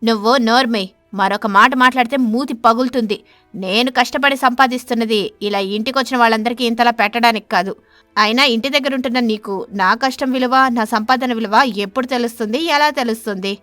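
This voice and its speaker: A woman's voice: fairly high pitch, a little fast, no room sound, faint music behind, lightly acted. Woman playing a scolding stingy male stork. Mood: harsh, scornful. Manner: berating, sharp-tongued, lecturing.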